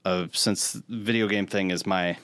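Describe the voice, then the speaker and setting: Low-voiced man, casual podcast co-host. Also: steady tone, a little slow, fairly bright tone, no echo. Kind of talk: casual chat and anecdote telling.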